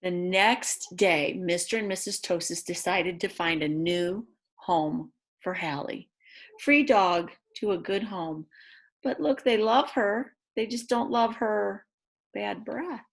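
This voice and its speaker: A woman reads a picture book aloud to young children in an expressive way, adding chatty asides about the pictures. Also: medium pitch. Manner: expressive, exclaims and gasps over the pictures. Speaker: read-aloud storyteller for children.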